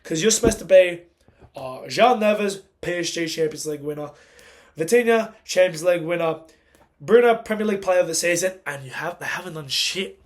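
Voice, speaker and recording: A man who has lost his voice, giving a casual post-match reaction rant as a football fan. He is agitated and emphatic, and his voice climbs in pitch and loudness when he gets angry. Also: expressive, brisk. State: hoarse, lost voice.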